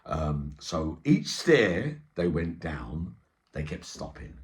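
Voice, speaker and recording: A man's voice: deep voice, sing-song, slow pace. A middle-aged man telling an eyewitness story informally, in streetwise slang with frequent check-in tags. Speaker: storyteller and channel host.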